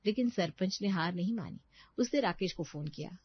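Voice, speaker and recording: A woman's voice: medium pitch, lively intonation, a little fast, slightly rough, no echo, highs cut off. A woman reading a scripted moral story as its narrator.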